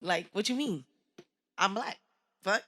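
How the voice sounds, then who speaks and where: A woman's voice: medium pitch, lively intonation, takes it slowly, fairly bright tone. A woman co-host speaks in a mid-range voice. She is outspoken and emphatic, debating casually in slang with plenty of swearing. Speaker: podcast co-host.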